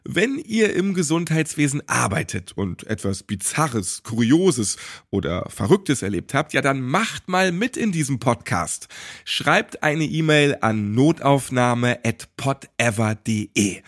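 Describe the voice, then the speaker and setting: A man's voice: medium pitch, big pitch swings, a little slow. A man hosting a podcast, presenting and interviewing in German radio-magazine style. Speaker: podcast host. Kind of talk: lively scripted intro and interview.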